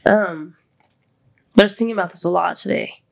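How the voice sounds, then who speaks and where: A woman hosting a podcast in casual, heartfelt chat. She is congested and her voice sits deeper than usual, and she talks openly and vulnerably, close to tears. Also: brisk, creaky. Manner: open and vulnerable, near tears. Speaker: podcast host.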